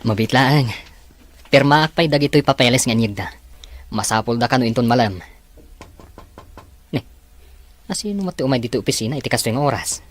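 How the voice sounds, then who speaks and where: A man's voice: mid-range voice, animated, brisk, long pauses, natural voice. A woman voicing an earnest young office worker man, speaking with an Ilocano accent. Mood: busy. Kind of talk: audio drama acting.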